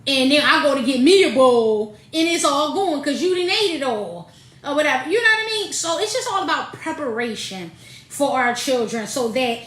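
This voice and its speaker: A woman in her late thirties co-hosting a casual chat with her daughter, animated and laughing, in relaxed slangy speech. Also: sits high, normal speed, reverberant. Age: 30s. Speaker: podcast co-host, mother.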